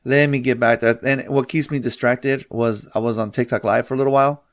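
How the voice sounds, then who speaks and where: A man's voice: medium pitch, fairly even intonation, fairly quick, dry recording, clean studio sound. A man hosting a podcast, chatting in a rambling, easygoing way. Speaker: podcast host. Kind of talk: casual interview chat.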